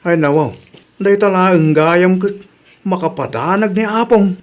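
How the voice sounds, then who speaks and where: Man acting higher-voiced Ilocano son. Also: moderate pace, lightly acted. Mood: concerned. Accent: Filipino (Ilocano). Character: concerned grown son, casual and teasing. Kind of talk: radio drama acting.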